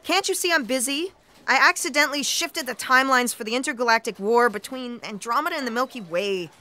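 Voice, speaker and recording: A woman's voice: high voice, lively intonation, fairly quick, pushed and bright. A woman playing a haughty, irritable boss of a cosmic office, scolding her staff in a sci-fi audio drama. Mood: irritated. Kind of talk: sci-fi audio theatre acting.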